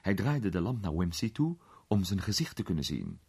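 Male audiobook narrator: low, husky, expressive delivery of literary prose. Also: moderate pace.

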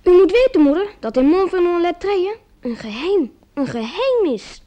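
A woman's voice: very high voice, sing-song, moderate pace, mellow. A woman playing a youthful narrator confiding in mother in a radio play, on a dull recording.

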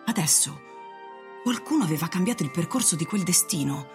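Woman narrator for a radio play, slightly low voice, literary reading, soft music behind. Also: animated, a little fast.

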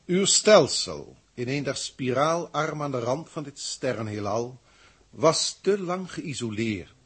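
An actor in a 1960s science-fiction radio drama playing a formal, grandiloquent alien proctor who speaks deliberately and pompously. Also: male voice, medium pitch, lively intonation, slightly rough. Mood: lofty, instructive. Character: authoritative, grandiloquent alien proctor from a galactic federation.

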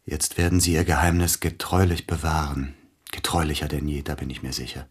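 Brooding middle-aged pianist in a radio drama, low husky voice, formal, bitter and hurt. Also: male voice, level tone, brisk. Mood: accusing, resigned. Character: aging celebrated pianist, wounded, bitter, melancholy betrayed lover. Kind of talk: radio play acting.

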